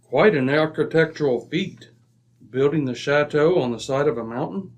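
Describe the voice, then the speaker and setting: Man as a gentleman detective, lighter-pitched, formal, polite, inquisitive, radio mystery play. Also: muffled recording, natural voice. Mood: appreciative. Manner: formal, measured wording. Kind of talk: old-time radio drama acting.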